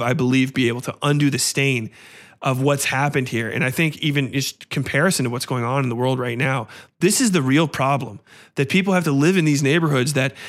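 A man's voice: mid-range voice, fairly bright tone, natural voice. A man playing a smug, self-serving Hollywood actor giving a rambling, stammering, insincere damage-control statement in a mock press conference. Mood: pompous solemnity.

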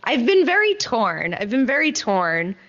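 A woman with a fairly high voice hosts a casual film talk show. She is emphatic and enthusiastic, repeating phrases for weight. The recording is a little dull and closed-in. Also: very animated, a little slow, a bit bright. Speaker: entertainment news show host. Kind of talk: casual movie review chat.

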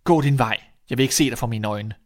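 A man's voice: mid-range voice, close to an everyday voice. Storybook father, acted by a male narrator, strict, fed up with his son, blunt and reproachful. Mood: angry, shocked. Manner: scolding, blunt. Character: stern, exasperated father, scolding and ashamed. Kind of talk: fairy tale storytelling.

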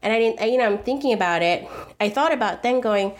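A woman's voice: a little high, animated, quick pace. Earnest, reflective American woman. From United States. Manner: earnest and thoughtful, frequent restarts and fillers. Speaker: food video creator, guest. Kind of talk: reflective interview conversation.

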